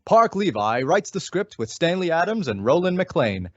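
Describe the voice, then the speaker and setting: A man's voice: a little high, very animated, normal speed, a bit bright, dry recording. A man as a 1940s-style radio announcer, reading scripted copy with emphatic, polished sales delivery. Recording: dull lo-fi audio.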